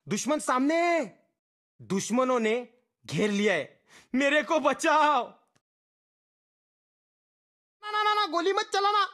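A performer voicing an excitable teammate in a battle game, barking comic callouts with exaggerated, drawn-out delivery. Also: high-pitched, full character voice. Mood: urgent, excited. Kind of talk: shouted in-game voice-pack callouts, Hindi slang.

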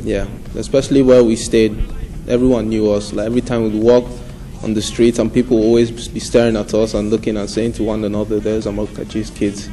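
Young man, relaxed, low voice, mellow tone, indoor interview. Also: brisk, low-quality recording. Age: young adult. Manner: relaxed, easygoing, short modest answers. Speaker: interviewee, footballer's son. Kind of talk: casual interview answers. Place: indoor room.

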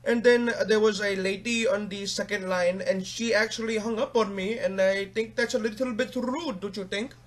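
A man's voice: high voice, fairly even intonation, normal speed, few pauses. A young man putting on an Indian accent as a pestering, needy tech support caller in a prank call. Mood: hesitant. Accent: put-on Indian. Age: young adult. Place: small home bedroom, indoors.

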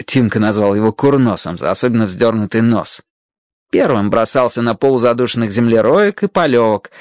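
A man's voice: medium pitch, a little mellow, no room sound. A man narrating a children's adventure story expressively from a script. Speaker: audiobook narrator.

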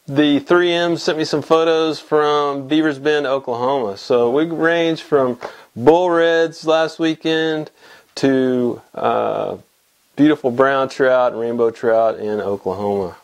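A man's voice: medium pitch, slow pace. A man in his fifties from Texas, hosting a casual weekly fly fishing report from his small home fly shop, talking to a camera at desk distance with lots of fillers and restarts. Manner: rambling, many restarts and fillers. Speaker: fly fishing show host. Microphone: camera mic at desk distance.

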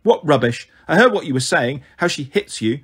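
A stern storybook woman, played by a man, scolds with indignant authority. The voice is husky and pitched up, sharp-tongued, sarcastic and bossy. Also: lively intonation, normal speed. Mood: triumphant.

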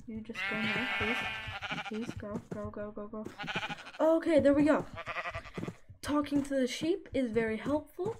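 A woman's voice: fairly high pitch, noisy background. A woman narrating a casual game tutorial in a chatty manner, now and then talking to the animals on screen. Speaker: Minecraft let's play creator.

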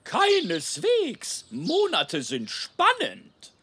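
Pompous male gander teacher, wildly swooping pitch, very slow didactic delivery, children's cartoon. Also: huge pitch swings, a bit bright, husky, exaggerated voice. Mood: pompous, instructive.

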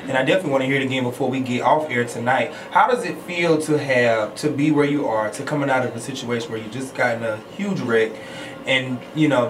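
A man's voice: medium pitch, far from the mic. An American man in his thirties hosting a casual radio interview. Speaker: radio show host. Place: small radio studio with foam panels. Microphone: studio microphone on boom arm. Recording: roomy, off-mic, faint background noise.

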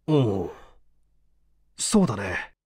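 A man's voice: on the low side, big pitch swings, slow pace, darkish tone, breathy edge, no room sound, voice pushed down, exaggerated voice. A forgetful, bewildered man in a storybook reading, voiced by a male narrator. He speaks hesitantly, puzzled by his own memory. The sound is close and dry. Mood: subdued. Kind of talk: acted dialogue in storybook reading.

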